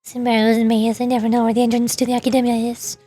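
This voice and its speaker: High-voiced woman streamer musing aloud. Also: completely flat delivery, fast, dark tone, faint music behind. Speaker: streamer, VTuber. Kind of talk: casual live game commentary.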